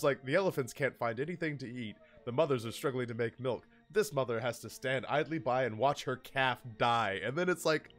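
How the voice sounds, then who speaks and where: Animated man telling stories over music. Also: fairly high pitch. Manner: animated, profane, self-deprecating. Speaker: live streamer telling personal stories. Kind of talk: casual comedic storytelling on a livestream. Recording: music behind the voice. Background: background music.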